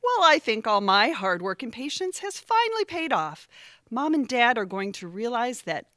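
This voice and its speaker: A woman plays an aggrieved, competitive sister in an audio family drama. Her fairly high voice leaps around with sarcasm and self-pity, recorded very dry. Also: sing-song, moderate pace, a bit bright, no room sound. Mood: bitter, triumphant. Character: resentful, competitive, overdramatic grown sister. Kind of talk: audio theatre family comedy-drama acting.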